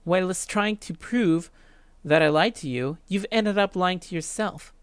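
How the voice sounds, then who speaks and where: A let's player voicing a calm, condescending schoolgirl killer from a visual novel, composed and lecturing. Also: medium pitch, a little slow, clean tone, dry recording, lightly acted. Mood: cool, condescending.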